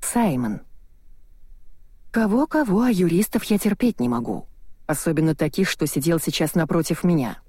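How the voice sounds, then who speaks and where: An audiobook narrator reading a first-person novel in a measured, expressive storytelling manner. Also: medium pitch, slightly rough, no room sound, studio quality.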